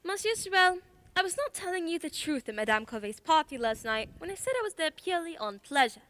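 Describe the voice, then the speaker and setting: A woman's voice: extremely high pitch, big pitch swings, fairly quick, fairly bright tone, exaggerated voice. A woman playing a confident, shrewd detective in a radio play, speaking in a formal, precise, theatrical way. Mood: composed, formal. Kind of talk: stage-style radio play acting.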